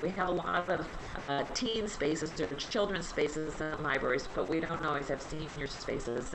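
A woman's voice: low voice, background noise. A woman with a slight cold and a somewhat nasal voice, chatting casually as she presents free health resources in a webinar.

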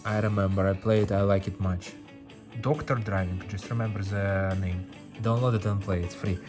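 A man in giving casual, playful gameplay commentary, thinking aloud as he plays. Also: lowish voice. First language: not English. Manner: playful, thinking aloud, with sung-out sound effects. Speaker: gaming video host.

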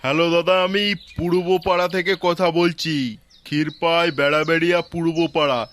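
A man's voice: on the high side, level tone, brisk, a bit bright, slight background noise, lightly acted. A man with a West Bengali accent voicing a boastful young village festival committee man in an amateur comic cartoon. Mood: polite.

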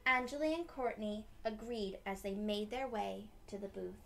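A woman's voice: medium pitch, moderate pace, vocal fry, slight background noise. A young adult woman hosting a children's read-aloud, explaining things simply and expressively as she goes. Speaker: storytime host, teacher. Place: small decorated room indoors.

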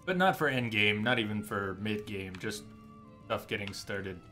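Musing male game streamer over soft music. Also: fairly low pitch, animated, normal speed. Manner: musing, thinking aloud. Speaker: game livestreamer. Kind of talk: casual live game commentary, chatting with viewers. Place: indoor streaming setup. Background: game music and ambience.

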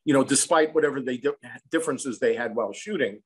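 An American man in his sixties, an interview guest telling anecdotes in a reflective, measured, conversational way. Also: mid-range voice, husky. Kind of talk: conversational storytelling. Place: room at home, indoors. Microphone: video call.

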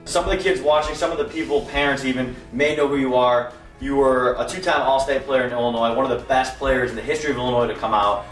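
A man's voice: medium pitch, steady tone, fast, hardly any pauses, far from the mic, soft background music. A man with a Chicago accent, a trainer from Chicago, interviewing a former client with direct, promotional questions. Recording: roomy, off-mic voice.

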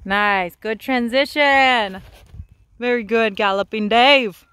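A woman coaching a horse rider in an outdoor arena, upbeat and teasing, quick to praise. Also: fairly high pitch, a bit bright, smooth, no echo. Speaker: riding instructor.

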